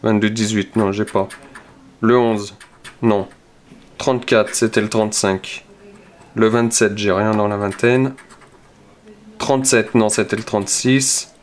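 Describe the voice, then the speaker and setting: A man slowly works through scratch cards, reading each number aloud in a low, unhurried voice. He mutters softly and checks line by line, with the scratch of the coin behind him. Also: steady tone, slight background noise. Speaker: scratch-card video host. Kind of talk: casual play-by-play while scratching tickets.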